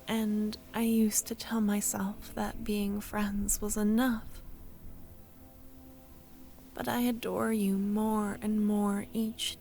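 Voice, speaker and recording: A woman playing a tender, wistful young childhood friend in a soft-spoken, breathy ASMR audio roleplay, often close to a whisper. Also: fairly high pitch. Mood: shy, earnest. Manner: slow, tender, hesitant.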